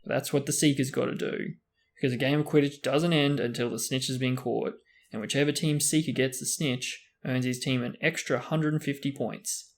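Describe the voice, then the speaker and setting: A man voicing a tired schoolboy hero, flat and resigned as he explains things. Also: medium pitch, normal speed, natural voice.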